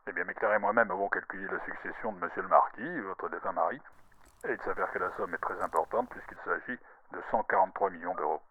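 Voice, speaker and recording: A man's voice: sits low, fast. A man playing a pompous notary on a dull phone line. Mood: formal.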